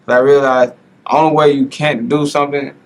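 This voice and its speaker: A man's voice: mid-range voice, level tone, brisk. A man hosting a casual podcast interview, earnest and motivational, who keeps saying "bro" and "like.". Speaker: podcast host.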